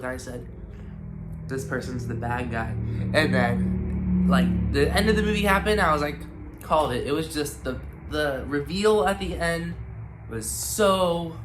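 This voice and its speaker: A man's voice: on the high side, a bit bright, a little hiss or hum. A man with a congested, stuffy voice giving a casual movie review, rambling and hesitant with lots of ums and restarts.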